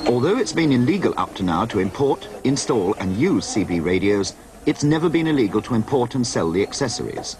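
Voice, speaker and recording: A man's voice: medium pitch, big pitch swings, brisk, background noise. A man narrating a scripted television news feature as a reporter.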